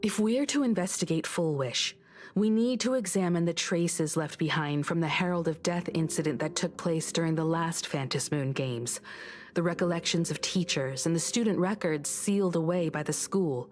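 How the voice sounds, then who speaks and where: Solemn, low-voiced actress as brooding woman investigator. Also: normal speed, darkish tone, natural voice. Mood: resolute. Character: grave, brooding senior investigator woman, troubled by past tragedy. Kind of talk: dramatic video game voice acting.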